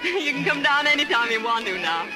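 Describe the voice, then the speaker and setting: An actress playing a glad, fussing older country wife in 1930s radio drama style. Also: female voice, sits high, sing-song, brisk. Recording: old radio broadcast, loud fiddle music.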